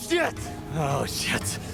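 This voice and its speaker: An actor playing a rough-edged, excitable male adventurer in a video game, halting and exclamatory, prone to swearing. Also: a little high, heavily acted. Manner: halting, exclamatory, swearing under his breath. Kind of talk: dramatic video game acting. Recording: loud background music.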